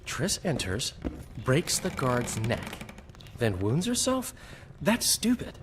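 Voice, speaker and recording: A man's voice: medium pitch, huge pitch swings, slow pace, background noise. A male actor playing a jovial, flighty bard in a video game, chatty and theatrical. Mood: puzzled.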